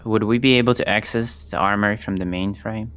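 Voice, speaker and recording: A man's voice: fairly low pitch, steady tone, a little fast, fairly bright tone, clear, resonant tone. A man playing a tabletop game, talking casually with the group.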